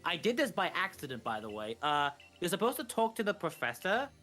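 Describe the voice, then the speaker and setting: A man's voice: high voice, sing-song, a little fast, bright, forceful tone, faint music behind. A man co-commentating on a video game, casually explaining details as they come.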